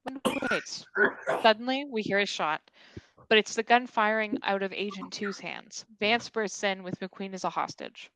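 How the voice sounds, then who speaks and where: A woman's voice: medium pitch, normal speed, fairly bright tone, no echo, rough recording. A woman reading stage directions as the narrator of a scripted comedy table read.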